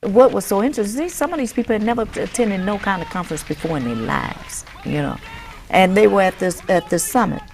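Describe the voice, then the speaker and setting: A woman organizer recalling her campaign work in an interview, unhurried and restarting phrases with fillers. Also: medium pitch, expressive, vocal fry, background noise. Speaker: welfare rights organizer. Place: dark studio, indoors.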